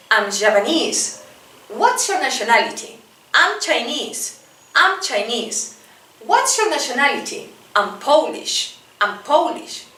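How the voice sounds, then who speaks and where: A woman's voice: high-pitched, bright, forceful tone. A woman teacher leading an English lesson, patiently drilling phrases and often checking in with "right?". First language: Spanish. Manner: slow, patient drilling with frequent "right?" tags. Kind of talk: classroom-style English lesson with repetition drills. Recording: roomy, distant from the mic.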